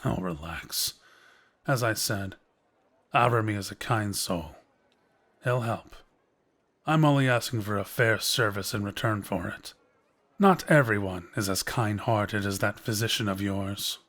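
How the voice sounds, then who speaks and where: An actor playing a sly, knowing quest-giver in a fantasy audio roleplay, measured and teasing as he persuades the listener. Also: fairly low pitch, a bit bright. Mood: smooth, reassuring.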